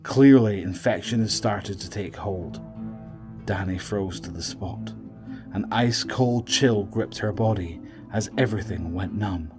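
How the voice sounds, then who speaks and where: A man's voice: lowish voice, unhurried, music in the background. A man delivering dramatic scripted narration for an audio drama.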